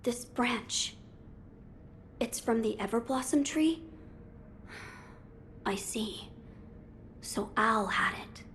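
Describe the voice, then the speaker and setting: A noble young boy lord from a video game, gentle and solemn. The delivery is slow, formal and earnest, each phrase carefully measured. A faint rumbling noise sits in the background. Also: animated, heavily acted. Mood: thoughtful. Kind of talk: video game cutscene acting.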